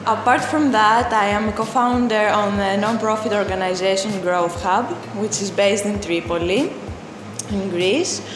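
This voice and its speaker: A woman in her twenties, introducing herself in an interview and pausing often with fillers. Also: medium pitch. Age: 20s. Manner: hesitant, many fillers. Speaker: co-founder of a non-profit. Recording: echoey hall recording. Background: background music.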